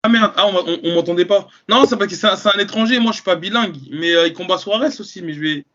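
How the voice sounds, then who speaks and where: A man's voice: high voice, quick pace, pushed and bright, muffled recording. A man co-hosting a podcast in casual banter, scattered, stumbling and correcting himself. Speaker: podcast co-host.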